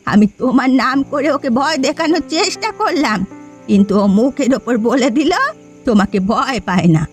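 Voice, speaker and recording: A scheming old village woman from a cartoon, acted by a woman, with a high-pitched voice. She sounds aggrieved and spiteful, forever goading, over faint music. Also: expressive, moderate pace. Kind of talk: cartoon voice acting.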